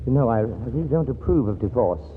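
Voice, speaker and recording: A man acting as a prim, stuffy young millionaire in a 1940s radio comedy, formal and earnest in his fussy phrasing. His mid-pitched voice comes through a thin old broadcast with light hiss. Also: lively intonation, quick pace, close to an everyday voice. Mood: prim, bashful. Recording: hissy, narrow-band old radio broadcast.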